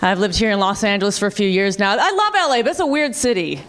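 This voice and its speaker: A woman's voice: medium pitch, expressive, fast, fairly bright tone. A woman from the US Midwest with an American accent doing conversational stand-up comedy, repeating her punchlines. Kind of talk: stand-up comedy to a live audience.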